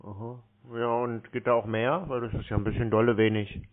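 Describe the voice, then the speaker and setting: A man's voice: lowish voice, flat delivery, fairly quick. A man chatting casually on a phone call in a laconic, dry, needling way. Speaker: scam-baiter taking calls. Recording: muffled narrow phone-call sound.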